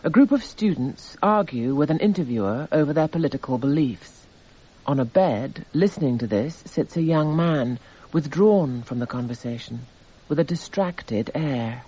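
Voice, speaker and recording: An actor playing a detached narrator, reading measured, descriptive scripted narration for a radio drama. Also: male voice, a little high, expressive, mellow, husky. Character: detached male narrator listing evidence items. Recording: slightly dull radio recording.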